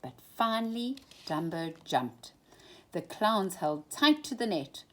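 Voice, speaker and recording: Lady reading a kids bedtime story, slow and animated, bit breathy. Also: mid-range voice.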